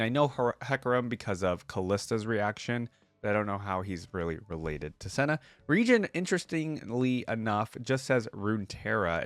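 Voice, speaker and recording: A man's voice: on the low side, no echo. A man hosting a reaction video, talking informally as he comments and reads lore aloud.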